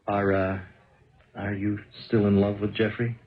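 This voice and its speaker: An actor playing a shrewd, meddling brother who probes and persuades insistently in a colloquial 1970s radio drama style. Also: male voice, lowish voice, flat delivery, unhurried, lightly acted. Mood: probing, stern. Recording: dull, muffled old radio recording.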